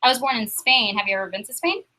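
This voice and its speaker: A young woman leading a sales training meeting, upbeat and quizzing the room with quick questions. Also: a little high, animated, bright, forceful tone.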